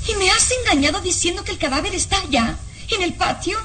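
An actress playing a young, anguished but defiant noble daughter in a melodramatic radio drama, pleading and insistent. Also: female voice, very high-pitched, animated, quick pace, background noise. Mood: anguished, accusing.

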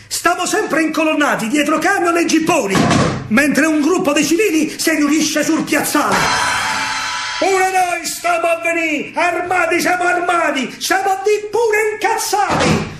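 A man with a Roman accent performing a theatrical verse monologue in dialect, expressive, dramatic and colloquial. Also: very high voice, normal speed, over loud music. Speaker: stage actor and storyteller.

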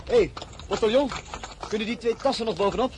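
An actor playing a brisk young man calling out as he hurries to a coach in a radio drama. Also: sits high, background noise, lightly acted.

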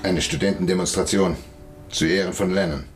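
An actor playing a needling Soviet militia officer in a noir radio drama, talking in clipped, dry official phrases. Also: male voice, sits low, fairly even intonation, brisk, natural voice.

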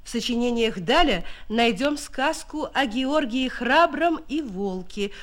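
Woman narrating a literary radio biography, deliberate phrasing. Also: a little high, animated. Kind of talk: scripted documentary narration, radio drama.

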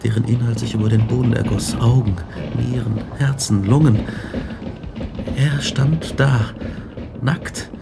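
An actor playing a frantic scientist horrified by his own creation in a radio drama, feverish, swinging between whispers and shouts. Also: medium pitch, steady tone, measured pace, creaky, long pauses, heavy background noise. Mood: horrified recollection.

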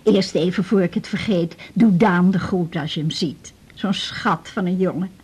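A woman's voice: fairly low pitch, darkish tone, breathy edge. An actress playing a frail, talkative old Dutch widow, a patient clinging to her house, in a radio drama. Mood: chatty, jovial. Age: older. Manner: chatty, plaintive, with halting repetitions.

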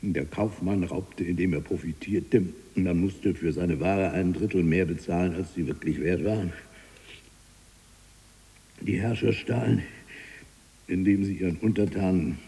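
A husky, very low-voiced man holds forth as a jailed freethinking writer in a radio drama. He is eloquent, taunting and obsessive, moving between murmur and declamation. The sound rings with long hall reverb. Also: fairly even intonation, measured pace, pauses often, dark tone, exaggerated voice. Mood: bitter lecturing. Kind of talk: theatrical radio drama monologue.

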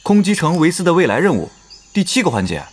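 A man's voice: on the high side, wildly swooping pitch, quick pace. A man acting in an audio drama as an earnest young know-it-all gamer, eagerly explaining game lore.

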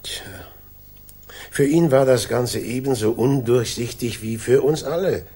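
An actor playing a stiff, grieving upper-class colonel, formal and reserved, with a gravelly, husky voice in a 1960s German radio drama. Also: male voice, medium pitch, unhurried, dark tone. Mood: guarded, formal.

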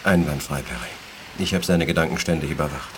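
A low-voiced, understated telepath aboard a starship. It is radio drama, with clear ambience behind the calm speech. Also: male voice, fast, noisy background. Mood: sober. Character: calm, laconic male telepath crewman. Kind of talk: radio play acting.

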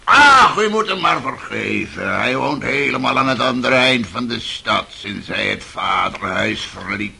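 A man's voice: mid-range voice, lively intonation, a little slow, hardly any pauses, pushed and bright, full character voice. An actor playing a wheedling, buffoonish old father in a radio play, theatrical and fawning in manner. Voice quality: gravelly, creaky. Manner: theatrical, fawning, exclaiming mock-humility.

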